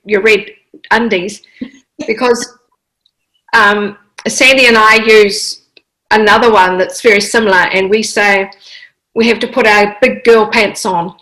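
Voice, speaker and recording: Bright-voiced woman, hesitant. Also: medium pitch, fairly even intonation, rough recording. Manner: hesitant, many ums and pauses. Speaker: audience member. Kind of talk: group discussion comment.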